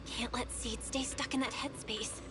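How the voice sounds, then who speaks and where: An actress playing an earnest, excitable young female helper in an anime video game. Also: fairly high pitch, normal speed, music in the background, close to an everyday voice, low-quality recording. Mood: determined.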